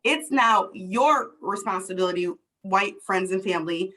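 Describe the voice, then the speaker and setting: A woman's voice: medium pitch, normal speed, pushed and bright. A woman hosting a conversation, explaining passionately and emphatically with everyday scenarios and rhetorical questions. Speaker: host, DEI consultant.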